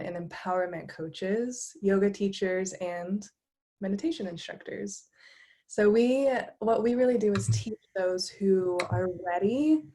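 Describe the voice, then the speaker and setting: A woman's voice: mid-range voice, darkish tone. Upbeat woman from Florida, meditation coach. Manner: upbeat, earnest, laughs between phrases. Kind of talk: online workshop presentation.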